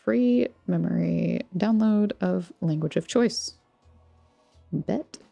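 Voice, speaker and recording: Low, creaky-voiced American woman, mellow. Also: takes it slowly, no echo. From Texas, United States. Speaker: craft livestream host. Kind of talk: casual chat with viewers while crafting. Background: background music.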